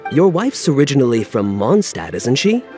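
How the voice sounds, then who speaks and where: A man's voice: mid-range voice, very animated, normal speed, mellow, soft background music, natural voice. An actor playing an easygoing, wry male caravan guard in a video game. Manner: wry, sociable.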